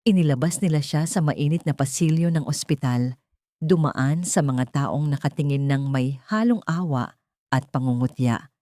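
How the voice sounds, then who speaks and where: A woman's voice: deep voice, moderate pace, dark tone, no room sound, clean studio sound. A woman reading a scripted dramatic story as its narrator.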